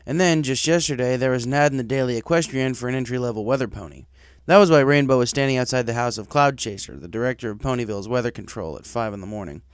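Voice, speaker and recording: A man narrates a story from a script in a middling-pitched voice. The sound is dry and close, with hardly any room around it. He delivers it as an audiobook reader would. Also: clear, resonant tone, no echo. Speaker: audiobook reader of fan fiction.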